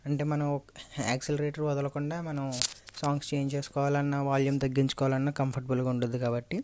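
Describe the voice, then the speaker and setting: A man's voice: medium pitch, completely flat delivery, fast talker, noisy background. A man with a Telugu accent giving an unscripted, step-by-step hands-on tutorial as a vlogger. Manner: explanatory, step-by-step. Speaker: vlogger reviewing and installing gear.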